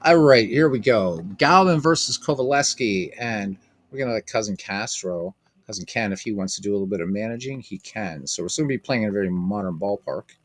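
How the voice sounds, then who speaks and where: A man's voice: lowish voice, expressive, fairly quick. A man hosting a casual live stream of a baseball game, rambling, repeating himself and chatting with viewers by name.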